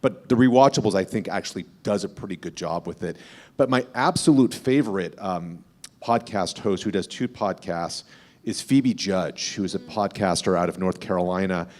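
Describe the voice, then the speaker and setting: Low-voiced man talking on a panel. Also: normal speed. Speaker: podcast host on a panel. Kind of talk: conversational panel talk.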